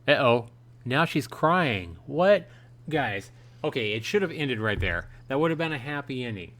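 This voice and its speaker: A man's voice: medium pitch, sing-song, normal speed, a bit bright. A man hosting a live play-through of a visual novel, reading it aloud with casual commentary and reactions. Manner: reads the dialogue aloud, then paraphrases and reacts.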